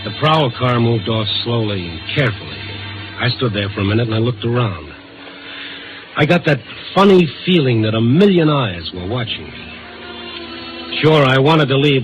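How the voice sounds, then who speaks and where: An actor in a 1950s radio drama playing a hard-boiled newspaper reporter, wry, clipped and nosy. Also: male voice, medium pitch, animated, normal speed, muffled recording, over music, natural voice. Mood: tense narration.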